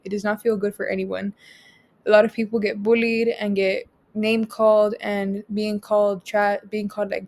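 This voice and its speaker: A young woman from Texas whose first language is Spanish presents a photo project, reading each caption aloud and then explaining it in a measured way. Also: medium pitch, warm tone. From Rio Grande Valley, Texas. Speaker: student presenter.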